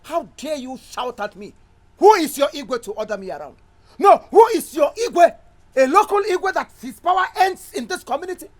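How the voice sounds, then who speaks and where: A high-pitched, lively-voiced bully of a councillor, played by a man. He is pompous and domineering, barking orders and sneering at anyone who questions his power. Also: moderate pace. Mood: contemptuous. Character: arrogant bullying male councillor, middle-aged. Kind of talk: Nollywood drama acting.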